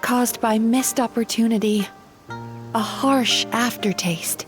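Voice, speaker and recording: A high, tender young woman of a fantasy game. She speaks slowly and softly, with earnest, wistful deliberation. Faint music plays behind her. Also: steady tone. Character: gentle young female psychologist dream-eater, earnest and tender. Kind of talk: dramatic video game voice acting.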